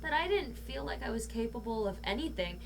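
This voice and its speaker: A woman's voice: on the high side, very animated, brisk. A young woman chatting casually and candidly as a podcast guest, swearing freely as she tells stories. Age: young adult. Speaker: podcast guest, voice actor and singer. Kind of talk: casual, candid anecdotal chat. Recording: clearly noisy with a background hum.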